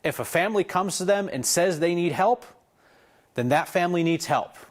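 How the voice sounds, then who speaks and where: A man's voice: high-pitched, lively intonation, normal speed. A man presenting an evening newscast, conversational and wry, with personal opinions mixed into the scripted copy. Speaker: news anchor.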